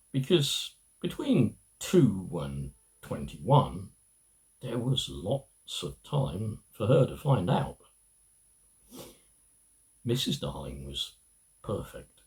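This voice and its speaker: A middle-aged man reads a children's story aloud in a fairly low voice. His pitch swoops widely from phrase to phrase. He takes his time, with pauses and expressive storytelling. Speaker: amateur reader.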